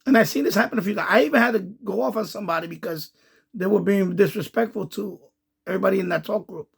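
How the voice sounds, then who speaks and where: A man's voice: high voice, fast talker, creaky, husky. A man giving casual, rambling running commentary as an amateur radio video host, repeating himself as he explains.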